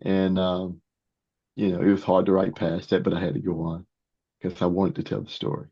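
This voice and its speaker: An older man in his sixties or beyond, answering interview questions as a guest author in a halting way, often repeating words. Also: low voice, level tone, gravelly, slightly rough. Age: 60s or older. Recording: dull, muffled lo-fi sound.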